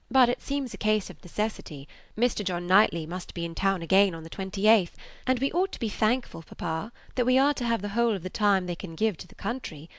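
A woman voicing a composed, cheerful young lady in an audiobook, a dutiful daughter who is polite and gently persuasive. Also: mid-range voice, no echo, close to an everyday voice. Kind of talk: audiobook character reading of a classic novel.

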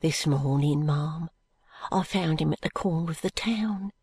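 A woman voicing a gentle, deferential poor woman in an audiobook, low, breathy and soothing. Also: measured pace, no room sound, full character voice. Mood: quiet, respectful. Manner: hushed, soothing. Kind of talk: audiobook character voice.

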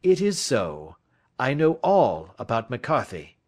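A man voicing a calm, authoritative detective in an audiobook, crisp and deliberate, gently grave in manner. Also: medium pitch, pitched above the performer's own voice, full character voice. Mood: gentle, certain. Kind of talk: audiobook character acting.

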